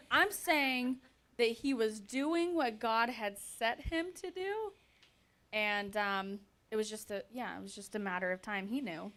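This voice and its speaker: A woman sharing her thoughts in a church Bible discussion, pausing and restarting as she thinks aloud. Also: a little high, a bit bright.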